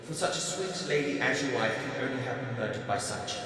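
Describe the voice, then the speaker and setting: Far from the mic in a hugely echoing hall, a man acts a solemn, stiffly proper Englishman in a radio drama. His mid-pitched voice declaims formally. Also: brisk, fairly bright tone, lightly acted. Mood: solemn condolence. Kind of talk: radio play acting. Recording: echoey hall, long reverb, distant.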